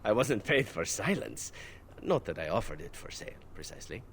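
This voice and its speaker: An actor voicing a charming, glib male elf assassin in a video game, smooth and playfully teasing. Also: fairly low pitch, sing-song, fairly quick, background noise. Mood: sly. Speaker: game character.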